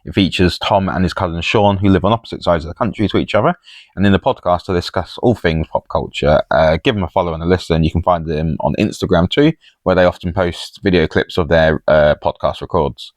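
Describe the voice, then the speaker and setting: A man's voice: low-pitched, brisk, no echo, clean studio sound. A man hosting a podcast, speaking in casual chat and commentary. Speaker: podcast host.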